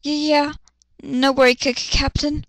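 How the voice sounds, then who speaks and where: A woman's voice: sits high, level tone, moderate pace, dry recording, lightly acted. A woman voicing a shy, sweet young female soldier, timid and hesitant, in a dramatic fan fiction reading. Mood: nervous stammer. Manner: stammering, timid.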